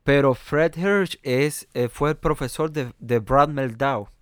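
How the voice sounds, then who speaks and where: Male jazz pianist, Cuban accent, resonant voice, halting conversational delivery. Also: medium pitch, clean tone, no room sound, studio quality. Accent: Cuban Spanish. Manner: hesitant, many fillers and restarts. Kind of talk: conversational interview.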